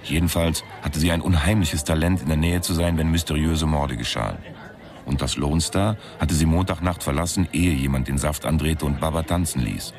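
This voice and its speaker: An actor playing a wisecracking, laconic private sleuth in a radio crime drama, deadpan and sardonic. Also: male voice, a bass voice, moderate pace. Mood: deadpan narrating. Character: wisecracking deadpan New York private sleuth, self-styled tough guy. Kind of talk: radio crime drama, first-person noir narration and dialogue. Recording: music under the voice.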